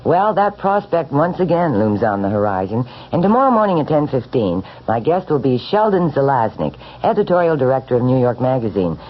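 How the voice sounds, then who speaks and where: Animated low-voiced woman in a radio promo. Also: brisk, hardly any pauses. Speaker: radio talk show host. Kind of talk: scripted radio programme promo. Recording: muffled 1970s radio broadcast.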